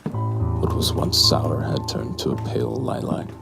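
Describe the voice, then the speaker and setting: An actor playing a hard-drinking, lustful barfly in a noir audio drama, with a slow, breathy, brooding delivery. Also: male voice, rumbling low, heavily acted. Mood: brooding, infatuated. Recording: music bed behind the voice.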